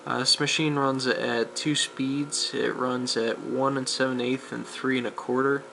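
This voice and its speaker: A man giving a casual, unscripted demonstration of vintage audio gear, with a gravelly voice and a rambling, halting way of explaining. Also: medium pitch, flat delivery. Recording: amateur camera recording with background noise.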